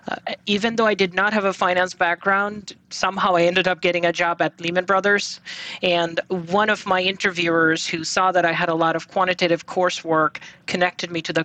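A man's voice: sits high, no room sound. Bangladesh-born man explaining finance steadily. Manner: steady and explanatory, with occasional uh fillers. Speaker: guest finance advisor. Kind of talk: interview answers.

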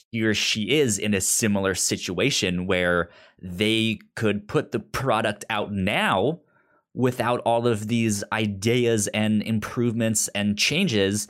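A man's voice: lowish voice, fairly bright tone. A man co-hosting a podcast, chatting casually about a TV series, often stammering and repeating the first sounds of words. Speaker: podcast co-host. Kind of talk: casual TV recap chat.

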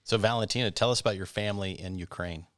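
A man's voice: lowish voice, quick pace, fairly bright tone, no echo, clean studio sound. A man hosting an interview, asking curious, short prompting questions. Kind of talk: casual interview.

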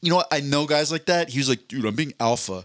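A man's voice: a little high, quick pace, fairly bright tone, dry recording, studio quality. A man co-hosting a casual podcast, reading listener stories aloud and joking around with plenty of swearing. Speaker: podcast co-host.

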